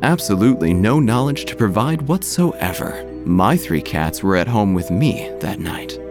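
Man as a droll, low-voiced church storyteller. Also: sing-song, unhurried. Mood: droll, confiding. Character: droll, gossipy small-town church narrator. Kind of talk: scripted comedic narration, storytelling. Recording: music under the voice.

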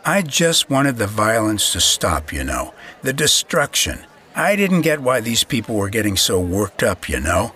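An actor playing a plain-spoken small shopkeeper interviewed in an audio drama, halting, earnest and folksy. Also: male voice, fairly low pitch, very animated, pushed and bright, full character voice. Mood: aggrieved, earnest.

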